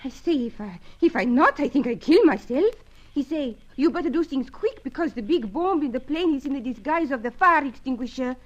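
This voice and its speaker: An actress playing a flirtatious, jealous cabaret dancer with a French accent, speaking coquettish broken English in a melodramatic radio serial. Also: female voice, high voice, expressive, a little fast. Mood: coy, dramatic. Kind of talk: old-time radio serial acting. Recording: dull lo-fi old radio recording.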